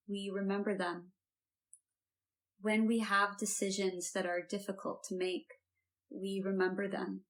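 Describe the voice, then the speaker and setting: A woman solemnly reciting a memorial litany in a measured, repeating cadence. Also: medium pitch, rough recording. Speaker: reader at a memorial service.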